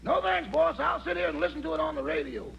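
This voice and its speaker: A man acting a wry, familiar manservant and driver with a gravelly voice and dry comebacks in 1940s radio comedy. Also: extremely high pitch, wildly swooping pitch, quick pace, exaggerated voice. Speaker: comic sidekick on a radio show. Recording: dull, very noisy vintage radio recording.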